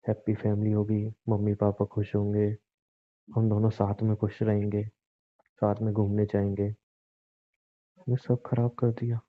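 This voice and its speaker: Over a muffled, phone-like line comes a man acting as a distraught husband in an audio drama. He is brooding and bitter, repeating himself as he pleads and threatens, and his pitch stays fairly flat. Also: natural voice. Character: distraught, menacing husband, bitter and self-pitying.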